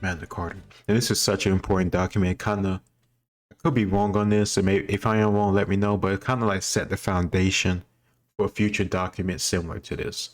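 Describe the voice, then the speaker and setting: An American man chatting casually as he gives rambling, opinionated reaction commentary and often corrects himself. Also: deep voice. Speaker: host reacting to a history quiz video.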